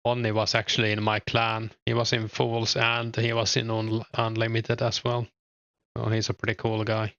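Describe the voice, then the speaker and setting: A man's voice: on the low side, moderate pace, no echo, highs cut off. A young man with a Finnish accent, chatting casually and a bit hesitantly while gaming and reading his chat aloud. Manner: relaxed, hesitant, lots of fillers. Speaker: streamer.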